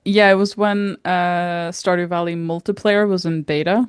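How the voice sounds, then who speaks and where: Giggly self-conscious podcast woman, low voice. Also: steady tone, moderate pace, clear, resonant tone, dry recording, clean studio sound. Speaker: podcast co-host. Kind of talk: casual chat.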